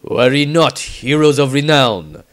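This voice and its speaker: A man's voice: mid-range voice, animated, a little slow, a bit bright. A man voicing a hard-faced, gallant adventurer in a fantasy storybook, grandiose and commanding. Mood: gallant confidence.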